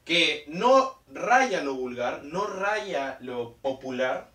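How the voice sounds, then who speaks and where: A young man teaches in front of a whiteboard. He breaks each term into steps, repeats key words and keeps checking in with listeners. His fairly high voice comes from a few steps off the camera mic, and the room gives it a clear echo. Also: sing-song, very slow, sharp tone, recorded from a distance. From Peru. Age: young adult. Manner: explanatory, repeats key terms, frequent "¿ok?" check-ins. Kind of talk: whiteboard lesson. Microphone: camera mic from a distance.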